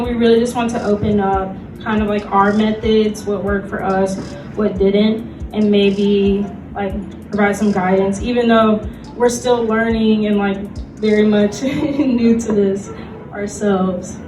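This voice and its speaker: Female convention panelist, mid-pitched, informal and filler-heavy delivery, recorded at a distance in a reverberant room. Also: steady tone, measured pace, noisy background. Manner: hesitant, conversational, frequent "like" as filler. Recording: echoey room from far off, music behind.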